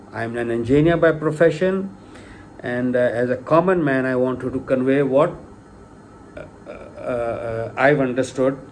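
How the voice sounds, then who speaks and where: A man's voice: medium pitch, a little hiss or hum. A middle-aged man, a native Urdu speaker, giving an informal spoken lecture in a hesitant way, with many fillers and restarts, then stressing key points with emphasis. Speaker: lay religious lecturer.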